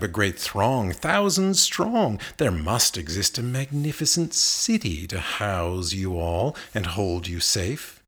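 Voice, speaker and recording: A man reading a narrative poem aloud in a literary recitation, with measured, deliberate phrasing and expressive emphasis. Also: on the low side, husky. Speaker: reader of poetry.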